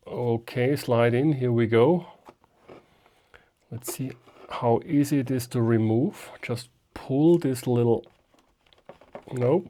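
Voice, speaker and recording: A man presenting a casual, unscripted product unboxing, thinking aloud haltingly as he handles things. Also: mid-range voice, mellow. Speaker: amateur radio video host.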